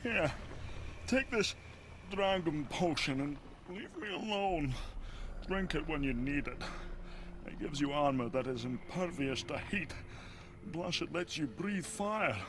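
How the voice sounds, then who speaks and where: High, whining, grudging dragon. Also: male voice, lively intonation, measured pace, noisy background. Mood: grudging, sulky. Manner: theatrical, complaining. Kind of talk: video game cutscene acting.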